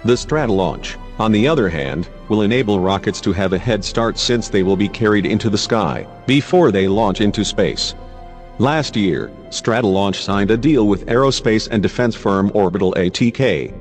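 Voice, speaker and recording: Male narrator, lower-pitched voice, scripted news read, faint music behind. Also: expressive, moderate pace.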